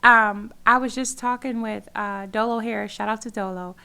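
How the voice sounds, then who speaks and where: A woman's voice: a little high, steady tone, moderate pace, gravelly, sharp tone, smooth. A woman in her forties, a community organizer talking as an interview guest in an earnest, emphatic way, often repeating phrases for weight. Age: 40s. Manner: earnest, emphatic storytelling with repetition. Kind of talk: casual interview talk.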